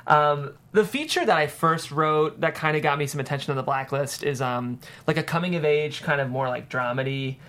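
A screenwriter speaks as a guest in a casual panel discussion. The voice sits at a middle pitch and is chatty and informal, with lots of hedging and "like" along the way. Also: brisk, hardly any pauses, a bit bright.

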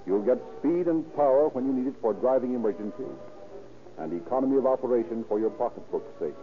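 A man's voice: medium pitch, expressive, brisk, soft background music. Tinny 1930s radio announcer, male. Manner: formal, emphatic announcing. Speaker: announcer and narrator. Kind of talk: 1930s radio scripted narration and ad reading.

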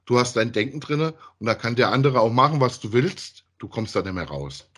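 Man, medium pitch, slightly rough voice, casual podcast talk, colloquial, rambling. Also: lively intonation, a little fast, fairly bright tone. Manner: colloquial, rambling, ends phrases with tag questions. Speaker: podcast host. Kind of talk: casual conversational review of audio dramas.

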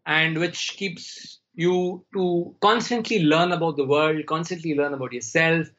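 A man from India, a Tamil speaker, talking slowly and thoughtfully as a podcast guest over a remote call. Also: fairly high pitch, a bit bright, lo-fi sound. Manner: slow, searching, thinks aloud. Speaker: guest storyteller. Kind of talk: reflective interview talk.

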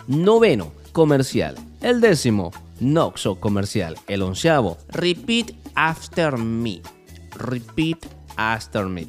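A man with a Latin American Spanish accent presents a radio-style segment over a music bed. His middle-pitched voice swings widely up and down. He reads like an announcer, emphatic and fond of repeating key phrases. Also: darkish tone. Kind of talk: scripted radio-style narration. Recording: music playing behind the voice.

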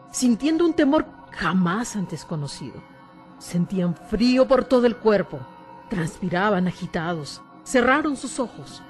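A woman's voice: medium pitch, darkish tone, lightly acted. A woman reading scripted, suspenseful narration as the storyteller of a spooky radio drama.

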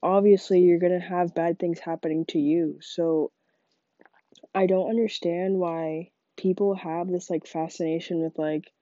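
Young woman podcaster, congested and stuffy, slow rambling delivery, dark mellow tone, dull muffled dry recording. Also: fairly low pitch, steady tone, dry recording. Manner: rambling, frequent "like" fillers. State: congested from a cold. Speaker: podcast host. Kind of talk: casual solo monologue.

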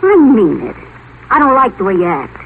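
High-voiced, plaintive young woman. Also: huge pitch swings, normal speed, narrow, tinny audio. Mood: petulant, protesting. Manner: plaintive, protesting. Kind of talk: 1950s radio drama acting. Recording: faintly hissy 1950s radio broadcast.

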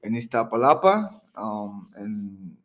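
A man in his thirties, from Mexico and raised in Florida, answering questions hesitantly with many pauses as a rapper guest. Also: lowish voice, very animated, fairly bright tone. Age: 30s. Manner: hesitant, many ums and restarts. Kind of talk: casual interview.

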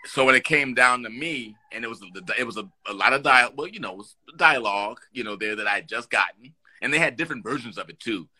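A middle-aged man, a guest actor, telling animated stories from his work in an interview. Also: medium pitch, fast talker, hardly any pauses, husky, rough recording. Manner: animated, lively storyteller with restarts. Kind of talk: storytelling in an interview.

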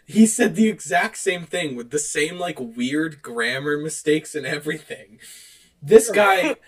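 A man co-hosting a podcast in casual chat, animated and quick to laugh. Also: a little high. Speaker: podcast co-host. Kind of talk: casual chat, reading out online reviews.

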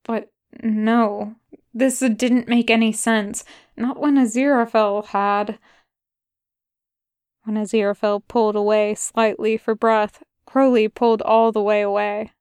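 A woman reading fan fiction aloud as an audiobook in slow, measured narration. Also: on the high side, level tone, darkish tone, smooth, no echo, studio quality. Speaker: audiobook reader.

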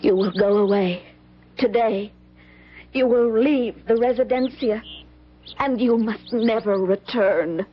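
A woman's voice: a little high, takes it slowly. An actress playing an anguished young woman resisting forbidden love in an old-time radio drama, speaking formally and with agitation. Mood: firm. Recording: muffled lo-fi old radio, hum, hiss.

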